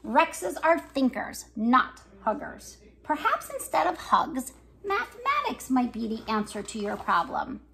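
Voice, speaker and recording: A thoughtful father T-rex from a picture book, voiced by a woman reader. The delivery is measured and matter-of-fact, like advice from a bookish parent. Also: high-pitched, huge pitch swings, vocal fry, a bit bright, heavily acted.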